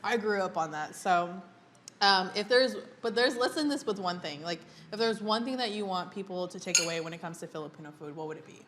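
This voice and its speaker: A young woman with a mid-range voice hosts a relaxed talk over food, chatty and animated, with frequent laughter. She sits close on a lapel mic at an indoor table, a faint room hum behind her. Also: medium pitch, brisk. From United States. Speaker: host. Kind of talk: casual chat over a meal.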